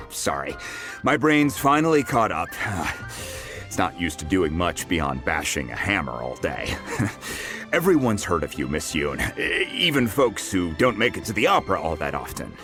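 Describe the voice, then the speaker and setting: An actor voicing a friendly, humble blacksmith in a video game, chatty and self-deprecating. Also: male voice, low voice, sing-song, a little slow, over music.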